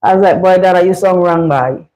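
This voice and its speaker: A woman from Saint Vincent with a Vincentian accent, hosting a casual live chat, easygoing and quick to laugh. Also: on the low side, warm tone, highs cut off. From Saint Vincent and the Grenadines. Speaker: live stream host.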